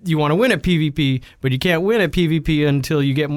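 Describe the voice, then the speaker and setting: Upbeat higher-voiced man on a podcast. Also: fast, no room sound, studio quality. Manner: upbeat, laughs often. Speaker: podcast co-host. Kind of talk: casual gaming chat and announcements.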